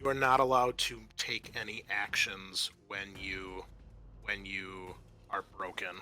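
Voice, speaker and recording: A man's voice: lowish voice, little pitch movement, a little slow, sharp tone. A man narrating a tabletop horror game as game master, moving between vivid storytelling and casual rules talk.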